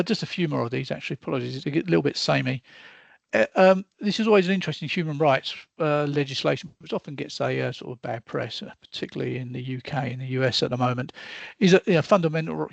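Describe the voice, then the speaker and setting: Male webinar presenter, middle-aged, gravelly voice, rambling and hesitant, dry close sound. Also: on the high side, no room sound, lo-fi sound. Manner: rambling, hesitant, self-deprecating. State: losing his voice.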